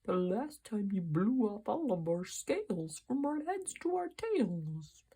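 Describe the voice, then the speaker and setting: A woman voicing a timid school of fish in a storybook, soft and pleading. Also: mid-range voice, sing-song, slow pace, husky, full character voice.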